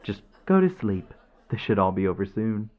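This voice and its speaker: A man's voice: on the low side, sing-song, moderate pace, muffled recording. A man playing a smug, sadistic corporate villain in an audio roleplay, taunting with sarcastic, mock-polite manners. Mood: cold, condescending. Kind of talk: ASMR audio roleplay acting.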